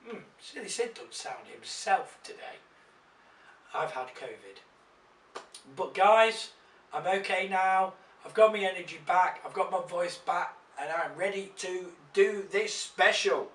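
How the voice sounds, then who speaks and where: Croaky man, slow and echoey. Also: high-pitched, expressive, fairly bright tone, recorded from a distance. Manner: chatty, grateful, slightly rambling. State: croaky after illness. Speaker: vlogger. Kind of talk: casual vlog to camera. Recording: echoey room, away from the mic.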